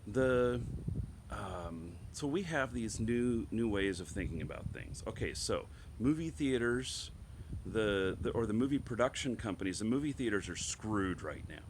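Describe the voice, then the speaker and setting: Low-voiced man with a stuffed-up cold. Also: expressive, measured pace, slight background noise. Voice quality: slightly stuffed-up, congested. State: has a head cold, clearing throat. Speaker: online show host. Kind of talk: casual opinion monologue to viewers.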